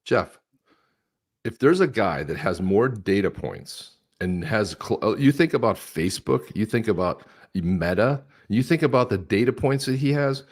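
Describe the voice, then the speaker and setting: A man's voice: lowish voice, animated, normal speed. A man of about sixty talking politics in a casual, joking way as co-host of a live show, often breaking into laughs. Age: 60s.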